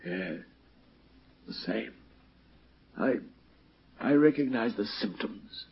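An actor playing a shrewd, sickly-sounding British detective, theatrical and refined with a breathy, husky voice, in an old-time radio drama. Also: male voice, lowish voice, animated, slow, exaggerated voice. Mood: weak, pleading. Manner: theatrical, refined, swinging from murmurs to urgency. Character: shrewd, commanding detective feigning grave sickness. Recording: muffled vintage radio broadcast recording.

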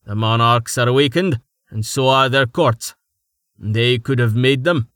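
A male narrator voicing a belligerent, loud-mouthed man who shouts and mocks the others. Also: medium pitch, unhurried, no echo, studio quality, natural voice. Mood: mocking. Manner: shouting, mocking.

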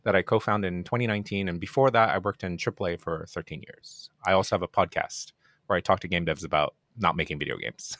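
Deep-voiced Japanese man, relaxed. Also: fast talker, dry recording, studio quality. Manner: relaxed, self-deprecating, many fillers and restarts. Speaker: podcast guest, game producer. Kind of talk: casual interview chat.

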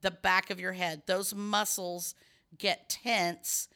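A woman's voice: lowish voice, level tone, takes it slowly, vocal fry, bright, forceful tone. A middle-aged woman with a scratchy voice, hosting a casual chat and explaining at length with many restarts and fillers.

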